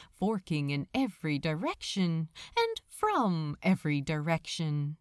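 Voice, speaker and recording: A woman's voice: mid-range voice, dry recording, studio quality. A woman reading fiction aloud as a dramatic, scripted story narration.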